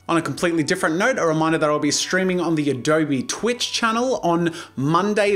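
An enthusiastic man in his thirties runs through channel news at a quick clip, fairly high for a male voice. He talks straight to camera in a small home studio, cheerful and eager. The delivery is a YouTuber's energetic announcement read. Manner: upbeat and enthusiastic, rapid-fire delivery. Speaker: YouTuber and animator. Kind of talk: fast announcement vlog.

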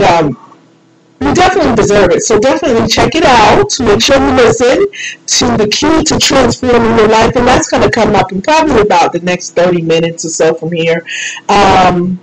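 A woman's voice: medium pitch, poor audio. A woman hosting a solo show, chatting in a casual, rambling, folksy and informal way. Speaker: radio show host. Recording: loud music behind the voice. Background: loud background music.

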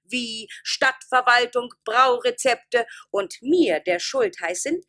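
A woman's voice: fairly high pitch, animated, slow pace, bright, forceful tone, exaggerated voice. A woman playing an assertive village mayoress in a German radio play, declamatory and demanding. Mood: stern, demanding. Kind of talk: German stage-style radio play acting.

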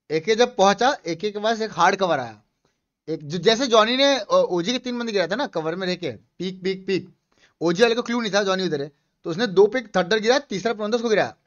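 Male streamer, twenties, high-pitched, casual explanatory game chat, slightly dull headset recording. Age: 20s. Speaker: gaming streamer. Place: small room indoors.

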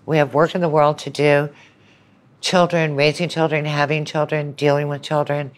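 A woman's voice: deep voice, flat delivery, moderate pace. An older woman talking reflectively as an interview guest, earnest, opinionated and emphatic. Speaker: podcast guest, university lecturer. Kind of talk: reflective conversational interview talk.

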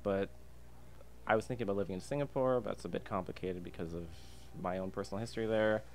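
A man's voice: fairly low pitch, quick pace, darkish tone. A young adult Canadian man chatting casually as a guest in a podcast interview. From Alberta, Canada. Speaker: podcast guest, freelance illustrator and designer. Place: podcast booth inside a diner. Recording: clear background ambient noise.

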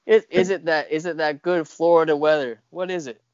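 A man's voice: fairly high pitch, steady tone, fairly quick, a little mellow, clear, resonant tone, no room sound. A young adult American man hosting a casual interview over a video call. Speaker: host. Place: room at home, indoors. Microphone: earphone mic on video call. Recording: dull lo-fi video call audio.

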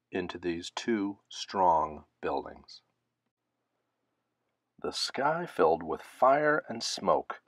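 A man reading a children's picture book aloud, phrasing it deliberately and stressing key words. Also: sits low, dry recording. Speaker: storybook reader.

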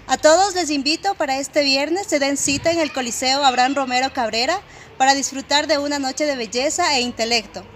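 High-voiced young woman, polished. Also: brisk, a bit bright, slight background noise. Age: 20s. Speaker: pageant candidate. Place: outdoor courtyard.

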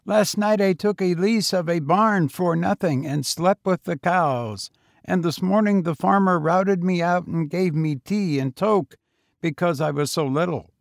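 A man voicing a frail, talkative, weary homeless boy of eighteen with a husky, colloquial, insistent way of talking in a story. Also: sits high, measured pace, no echo, clean studio sound, pitched above the performer's own voice. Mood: matter-of-fact.

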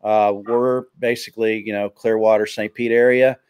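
Relaxed low-voiced male host. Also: normal speed, smooth. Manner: relaxed, rambling, many fillers. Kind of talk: casual live video chat. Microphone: headset on a video call.

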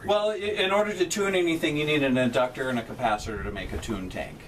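A middle-aged man gives a plain-spoken technical walkthrough of transmitter hardware to visitors crowded into an equipment shelter. He is picked up from a few feet away by a visitor's camera, so he sounds distant, with a steady hum underneath. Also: mid-range voice, fast. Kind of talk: explaining equipment on a guided tour. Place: inside a metal equipment shelter, small group standing close. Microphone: camera held by a visitor a few feet away.

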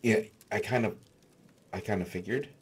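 Man playing a shy, stammering, low-voiced fantasy artificer. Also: normal speed, slightly rough. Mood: awkward, nervous. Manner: stammering, hesitant, soft-spoken reassurance. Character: shy, gentle, awkward human male artificer. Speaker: audio roleplay voice actor. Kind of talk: intimate dark fantasy audio roleplay.

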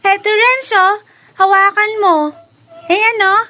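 A Filipino woman playing a sweet, excited ten-year-old girl in a radio drama, polite and gushing. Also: very high-pitched, takes it slowly, full character voice. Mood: eager, friendly.

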